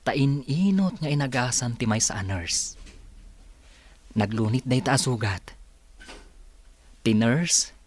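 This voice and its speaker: Casting: actor playing male family-drama role, average pitch, talky, questioning radio-play delivery. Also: takes it slowly, pauses often, darkish tone, slightly rough. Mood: casual. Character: man in a family melodrama. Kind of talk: radio-style drama acting.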